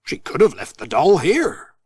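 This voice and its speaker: A man's voice: on the high side, huge pitch swings, measured pace, a little mellow, husky, no room sound, full character voice. Guy doing an easygoing country handyman for a kids story, matter of fact, dry studio sound. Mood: helpful, casual. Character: Ted Glenn, easygoing rural handyman, male. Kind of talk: children's storybook character voice.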